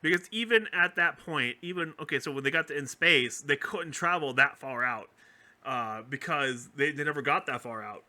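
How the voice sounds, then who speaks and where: Excitable man with a very bright voice. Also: on the high side, moderate pace. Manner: animated, excitable, rambling. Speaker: podcast co-host. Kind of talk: casual chat, explaining fan theories about a TV franchise.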